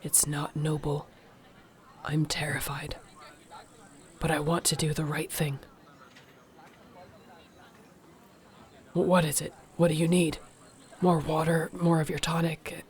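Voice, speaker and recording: A woman playing a protective, devoted orc warrior girlfriend in an intimate audio roleplay, speaking softly, breathily and earnestly. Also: sits low, level tone, measured pace, pauses often. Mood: anxious, tender.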